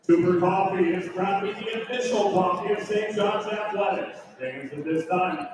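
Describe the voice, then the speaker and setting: A man's voice: sits high, normal speed. Male stadium announcer, scripted PA reads, distant and drenched in hall reverb, noise around. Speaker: arena public address announcer. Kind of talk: scripted public address announcements. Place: large indoor arena over loudspeakers. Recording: echoey arena PA heard from far off, noisy. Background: arena noise.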